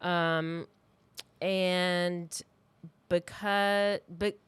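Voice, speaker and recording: A woman's voice: lowish voice, level tone, drawn-out words, fairly bright tone, clear, resonant tone, dry recording. A woman co-hosting a true crime podcast, telling the story in a casual, loosely scripted way with wry asides.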